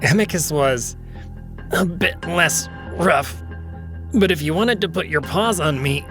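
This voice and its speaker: Man voicing a gasping, teasing jackal, higher-pitched. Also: a bit bright, soft background music. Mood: taunting, choked. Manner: halting, teasing. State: gasping after being choked. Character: taunting, flirtatious male jackal, choked and defiant.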